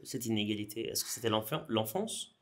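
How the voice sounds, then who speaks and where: A man's voice: fairly low pitch, expressive, fairly quick, over a noisy crowd. A man hosting a casual podcast interview, chatting informally and a little hesitantly, with some teasing. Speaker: podcast host.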